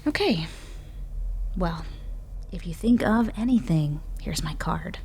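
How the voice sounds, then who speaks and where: A woman's voice: lowish voice, animated, slow pace, gravelly, darkish tone, husky, exaggerated voice. An actress playing a skeptical policewoman who questions coolly and pointedly in an audio drama. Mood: dismissive.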